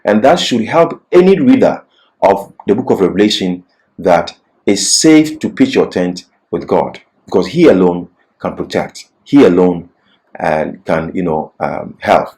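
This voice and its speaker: A man's voice: sits low, lively intonation, unhurried, a little mellow, poor audio. A man giving an expository Bible lecture as a teacher, repeating key phrases for emphasis.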